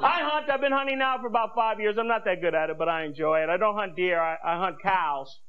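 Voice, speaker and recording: An American man performs a stand-up routine in a very high-pitched voice. He is animated and exclaims as he acts out his bits. The sound is a dull, noisy old TV tape, with a club audience clearly audible behind him. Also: fast, a crowd in the background. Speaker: stand-up comedian. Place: comedy club stage with audience. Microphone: handheld microphone. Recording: muffled VHS TV recording, noisy audience. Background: audience noise and laughter.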